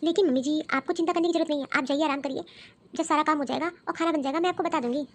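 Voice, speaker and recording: A meek, dutiful young daughter-in-law acted by a woman in a homemade family drama. She speaks politely in a high, gentle voice, with a puzzled, deferential manner. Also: very fast, lightly acted. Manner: polite, soft-spoken, puzzled.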